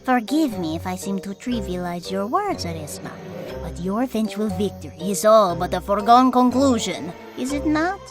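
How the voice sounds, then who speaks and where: A woman voicing a fawning, wordy bat attendant in an animated film, formal, florid and obsequious. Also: medium pitch, moderate pace, music in the background, natural voice. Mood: flattering.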